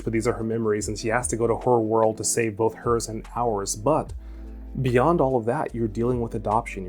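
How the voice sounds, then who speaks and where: A man, an author hosting his own channel, talking earnestly and reflectively in a solo vlog. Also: on the low side. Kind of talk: solo vlog monologue to camera.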